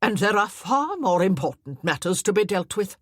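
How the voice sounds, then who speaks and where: A woman voicing an imperious elderly dowager countess who speaks bluntly and deliberately. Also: mid-range voice, big pitch swings, dry recording, studio quality, voice pushed up.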